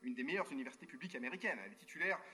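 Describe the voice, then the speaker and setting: Guy reading his conference paper fast over a call, formal wording, little off-script asides, kinda bright tense voice. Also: mid-range voice, slight background noise. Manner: formal written phrasing with spoken asides and hesitations. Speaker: university researcher giving a conference talk. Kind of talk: reading a prepared formal talk.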